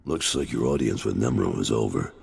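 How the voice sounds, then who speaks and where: A gravelly gangster lieutenant. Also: male voice, a bass voice, brisk, a little mellow, big-room sound, exaggerated voice. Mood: weary. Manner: blunt, warning. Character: gravelly middle-aged male crime lieutenant, wary and blunt. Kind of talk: video game cut-scene acting.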